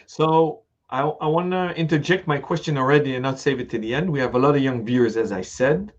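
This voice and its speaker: A middle-aged man hosting a conversational interview, talking in a halting, thinking-aloud way on a slightly lo-fi recording. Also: medium pitch.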